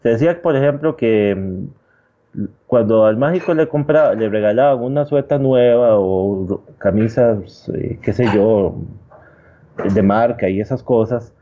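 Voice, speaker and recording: Guy chatting about sports, thoughtful, stop and start, kinda dull lo-fi sound. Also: medium pitch. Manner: reflective, halting, often seeks agreement with tags. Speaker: guest commentator. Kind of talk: conversational sports storytelling.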